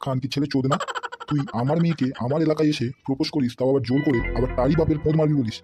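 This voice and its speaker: A bullying cartoon political boss, played by a man, with a short temper. He blusters and curses in a very fast torrent. There is clear music behind the voice. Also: mid-range voice, fairly even intonation, natural voice. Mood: furious. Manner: blustering, threatening, constantly cursing. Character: bullying, hot-tempered male local politician boss, a father. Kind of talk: comedy cartoon dialogue.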